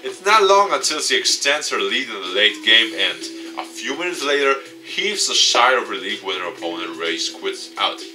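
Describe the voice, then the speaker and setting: A man reading a visual novel aloud and commenting off the cuff, dramatic with excitable asides. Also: fairly low pitch, moderate pace, hardly any pauses. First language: not English. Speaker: let's play YouTuber. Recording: distant mic, music behind. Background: game music.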